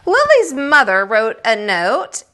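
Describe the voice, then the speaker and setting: A woman with a fairly high voice reads a storybook to children as a teacher. Her pitch swings widely as she narrates, stressing words dramatically and adding asides to her listeners. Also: huge pitch swings, slow, fairly bright tone. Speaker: teacher reading a picture book aloud.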